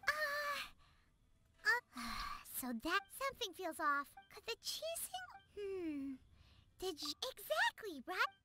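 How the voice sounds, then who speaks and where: Casting: tiny game fairy sidekick, extremely high squeaky voice, excitable, animated, chatty. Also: female voice, slow pace, very noisy, heavily acted. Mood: chatty, puzzled. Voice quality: squeaky, childlike. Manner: exclaiming, chatty. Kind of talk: video game voice acting. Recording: game music behind the voice.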